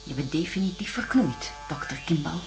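A woman's voice: sits low, lively intonation, normal speed, faint music behind. An actress playing a distraught, stubborn woman in a radio drama, emotional and reproachful. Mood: bitter, resigned. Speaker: voice actor.

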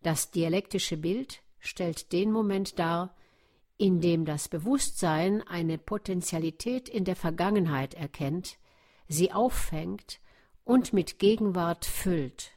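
A woman reading a scripted documentary narration in a deliberate, explanatory way. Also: fairly low pitch, dark tone.

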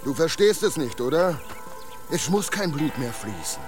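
Menacing, coaxing beast in human guise. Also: male voice, a little high, big pitch swings, over music. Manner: slow insinuating threats and coaxing, echoes own words. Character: menacing beast-creature posing as a dead husband, coaxing, domineering. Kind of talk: German radio drama acting.

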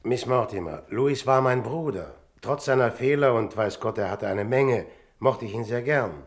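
An actor in a radio drama playing a polite, probing man with a measured, guarded manner. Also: medium pitch, lively intonation, close to an everyday voice. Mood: earnest, probing. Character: polite, probing man investigating his brother's death, Mr. Bristol.

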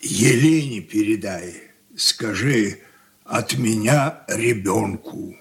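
An ailing, brooding old patriarch in a Soviet radio drama. He speaks very slowly in broken, weary phrases, his pitch swinging widely as he grows confused. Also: expressive. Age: older. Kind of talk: Soviet radio play acting.

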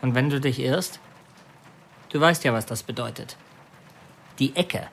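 An actor playing an anxious, wary young criminal accomplice in a radio drama, with a husky voice. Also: male voice, medium pitch, unhurried, fairly bright tone. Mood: worried, foreboding.

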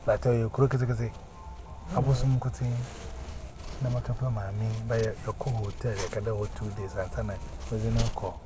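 A man's voice: fairly low pitch, little pitch movement, normal speed. A man with a Ghanaian accent, preaching and giving testimony, emphatic and often repeating words in chanting runs. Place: outdoors on a busy street. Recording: noisy street recording.

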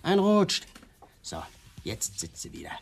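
An actor playing a menacing young police lieutenant in a radio drama, mockingly polite and domineering. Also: male voice, high-pitched, huge pitch swings, a little slow, a little hiss or hum, exaggerated voice. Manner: taunting, theatrically polite, domineering. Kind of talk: 1980s German radio drama acting.

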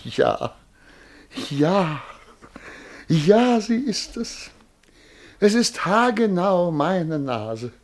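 Excitable major, higher-pitched voice. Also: male voice, drawn-out words, long pauses, a little hiss or hum, full character voice. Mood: delighted, absorbed. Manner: excitable, repeating words, formally polite. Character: anxious, eager, self-important male major and civil servant. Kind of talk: radio play acting.

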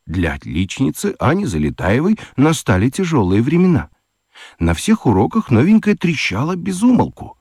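A man narrating a children's radio play with expressive storytelling. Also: mid-range voice, normal speed, breathy edge, no echo, studio quality.

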